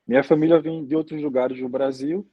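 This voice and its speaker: Husky young Brazilian priest. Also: male voice, mid-range voice, fairly even intonation, no echo, phone-quality sound. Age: 20s. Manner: measured, many "né?" tags. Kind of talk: interview answers.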